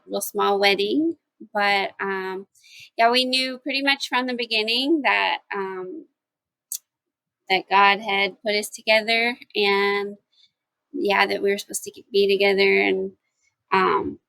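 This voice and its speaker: A woman's voice: medium pitch, gravelly, a bit bright, no room sound, low-quality recording. A woman from Mississippi, a house church pastor, chatting as a podcast guest with light, playful humor. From Mississippi, US South. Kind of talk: casual podcast conversation.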